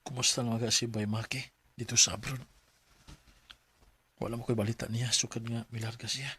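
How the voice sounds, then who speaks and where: An actor playing a hesitant, evasive young man in a radio drama, with a Filipino Cebuano accent and halting delivery. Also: medium pitch, little pitch movement, bright, forceful tone, breathy edge. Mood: subdued. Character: hesitant young man, evasive boyfriend and son named Jay.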